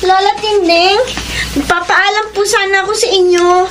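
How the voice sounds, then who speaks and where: Very high-voiced, polite Filipina daughter. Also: female voice, fairly even intonation, moderate pace, noisy background. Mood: polite, surprised. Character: polite, devout young daughter living with grandmother. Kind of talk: Filipino radio drama acting.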